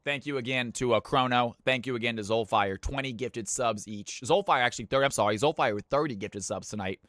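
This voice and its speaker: A man hosting viewer games on a live stream, chatting casually and thanking viewers, with sudden loud hype-announcer bursts. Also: mid-range voice, fairly quick, no echo. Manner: hype announcer bursts between easygoing chat.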